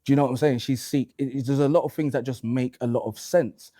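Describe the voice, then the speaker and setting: A man's voice: mid-range voice, fairly even intonation, fast talker, mellow. A man with a London accent, a guest on a panel discussion, talking earnestly and pressing to finish his point. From England.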